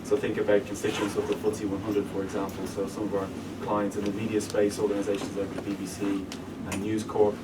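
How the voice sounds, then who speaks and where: A man's voice: on the low side, completely flat delivery, fast, few pauses. An Irish man speaking as a guest at a live evening talk, explaining his work in a businesslike way and often restarting his sentences. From Ireland. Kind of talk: interview talk to a live audience. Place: event room with an audience. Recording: distant mic with room noise.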